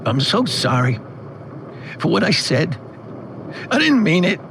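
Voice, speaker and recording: A man's voice: high voice, very animated, unhurried, creaky, a bit bright, slight background noise, voice pushed up, full character voice. A man playing an emotional, contrite adult son in an audio drama, pleading as he talks. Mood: tearful, apologetic.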